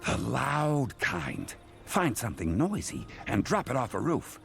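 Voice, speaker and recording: A man voicing a cocky, bossy crocodile who leads a cartoon detective team, with a gravelly voice. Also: mid-range voice, very animated, a little slow, a bit bright, heavily acted. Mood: bossy planning. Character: brash male crocodile boss of a detective team. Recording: music behind the voice.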